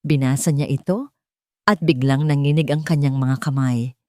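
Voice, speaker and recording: A woman's voice: very deep voice, fairly even intonation, moderate pace, a little mellow, dry recording, clean studio sound. A woman narrating a scripted love story as a storyteller, in a dramatic style.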